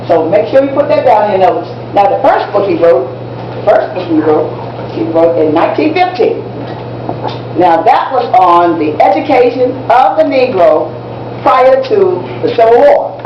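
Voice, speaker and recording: A woman playing an excitable teacher in a stage play, emphatic and repetitive as she coaches students for a contest. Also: medium pitch, moderate pace, lightly acted. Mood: excited, instructive. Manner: emphatic, repetitive, checks that listeners follow. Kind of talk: stage play dialogue, lecturing. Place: echoey room at a live public performance. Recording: echoey, distant, muffled room recording with noise.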